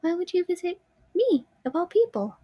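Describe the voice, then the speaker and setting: High soft childlike puppet girl, shy and haunted, pitch jumps all over, dry sound, a girl putting it on. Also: big pitch swings, normal speed, no echo. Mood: gentle. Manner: timid, pleading when scared.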